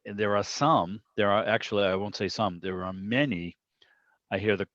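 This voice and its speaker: A man with a fairly low voice hosts an informal online meeting. He talks in a loose, digressive, conversational way. The call audio is dull and dry, with little room sound. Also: fairly even intonation, moderate pace, a bit bright, no echo. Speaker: club meeting host. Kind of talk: casual chat on a video meeting. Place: remote video call. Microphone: video call microphone.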